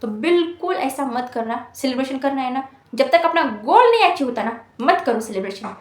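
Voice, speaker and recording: High-voiced young woman in an echoey room. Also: very animated, moderate pace. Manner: earnest, scolding, full of rhetorical questions. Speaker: motivational speaker for exam students. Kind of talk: motivational talk to students. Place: hostel room.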